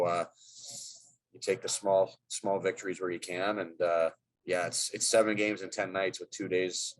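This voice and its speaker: A man's voice: deep voice, low-quality recording. A middle-aged man, a hockey head coach, answering press questions in a loose, rambling way with many fillers and restarts.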